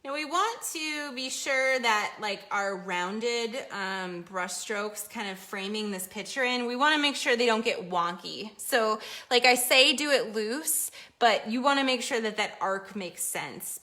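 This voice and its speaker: Chatty woman teaching painting, slightly hoarse. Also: on the high side, unhurried, hardly any pauses, pushed and bright. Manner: chatty, encouraging, reads viewer comments aloud. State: losing her voice. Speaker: painting instructor. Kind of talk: live paint-along demonstration. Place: home art studio at an easel.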